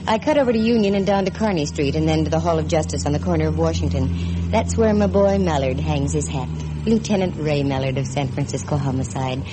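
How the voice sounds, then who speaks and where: An actress playing a sharp-witted, wisecracking female private detective in 1940s radio drama, with breezy, sardonic delivery. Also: sits low, fairly quick, close to an everyday voice, poor audio. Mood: brisk narration. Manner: breezy, sardonic banter and slangy narration. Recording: vintage radio recording with music.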